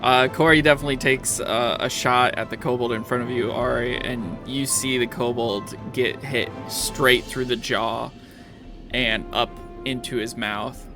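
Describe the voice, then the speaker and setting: A man's voice: medium pitch, fairly even intonation, a little slow. A man acting as game master, narrating a tabletop roleplaying game in an informal, matter-of-fact way. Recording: music behind the voice. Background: music.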